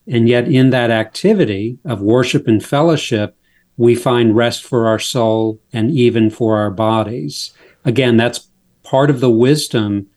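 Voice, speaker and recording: A man speaking as a guest in a faith interview, explaining his subject in a measured, unhurried way. His voice sits at an ordinary pitch and he explains each point step by step. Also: a little mellow. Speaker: pastor and author, guest.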